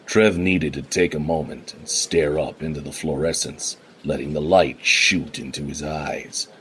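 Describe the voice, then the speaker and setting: Very deep-voiced male narrator. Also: steady tone, unhurried, a bit bright, slight background noise. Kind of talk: scripted narration.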